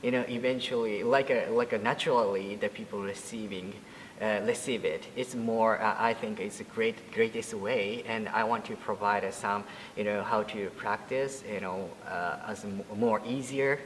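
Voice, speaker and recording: A man in his twenties from Japan, speaking English with a Japanese accent as a Buddhist priest being interviewed, halting and full of fillers and restarts. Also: on the low side, fairly even intonation, in a large hall, slight background noise, rough recording. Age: 20s. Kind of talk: interview answers.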